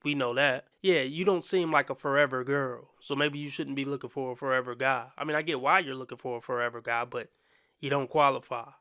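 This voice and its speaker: A man's voice: medium pitch, fairly even intonation, brisk, sharp tone, clean tone, no room sound, clean studio sound. A man hosting a reaction commentary channel, blunt and opinionated with casual slang.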